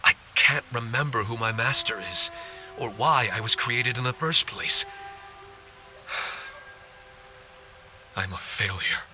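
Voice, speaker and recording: A man's voice: mid-range voice, takes it slowly, pauses often, sharp tone, soft background music. A man playing an earnest, apologetic amnesiac spirit guardian in a video game, speaking formally and politely. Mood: ashamed.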